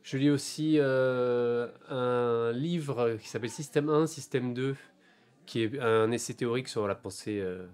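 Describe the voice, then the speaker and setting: A man in his forties from France talks about his novels in a bookshop interview. He searches for words, pausing and restarting often, yet keeps a reflective, earnest tone. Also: medium pitch, darkish tone. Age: 40s. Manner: hesitant, many fillers and restarts, thoughtful. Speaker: author.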